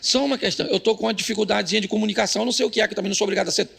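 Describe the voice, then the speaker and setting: A Brazilian man acts out a tired older prophet dodging a job in a comic sermon. He mutters slangy excuses in a rambling, evasive way, with a gravelly and husky voice. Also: high voice, very fast, fairly bright tone, exaggerated voice. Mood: weary, evasive. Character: weary, reluctant older male prophet making comic excuses.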